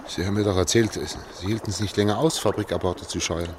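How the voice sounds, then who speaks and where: An actor as an insistent engineer guiding an escape in a radio drama. His voice is on the low side, formal and pleading as he reasons. Clear ambient noise runs behind him. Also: fairly even intonation, fairly quick, natural voice. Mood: reproachful. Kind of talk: German radio play acting. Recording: noisy radio play with watery ambience.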